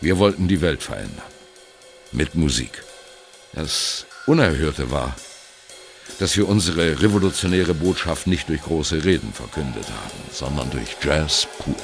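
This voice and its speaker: An aging free jazz rebel with an extremely low, gravelly voice. He is gruff and irreverent, talking in blunt, wry, wistful monologue as in a radio play. His delivery swings widely in pitch, with jazz music faintly behind him. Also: expressive, a little slow, full character voice. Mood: wry, proud. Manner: blunt, colloquial, wry, reflective. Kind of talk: audio drama monologue.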